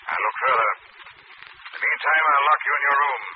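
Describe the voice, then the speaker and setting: An actor playing a commanding, probing crime-fighter in an old-time radio mystery, speaking with deliberate authority. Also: male voice, mid-range voice, close to an everyday voice. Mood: menacing, probing. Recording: tinny, noisy vintage radio recording.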